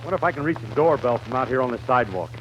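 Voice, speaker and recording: A man's voice: mid-range voice, expressive, rapid-fire, close to an everyday voice. A man acting as a swaggering bandleader in a 1940s radio comedy. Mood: cocky. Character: swaggering boozy pool-playing bandleader. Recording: very noisy, muffled 1940s radio recording.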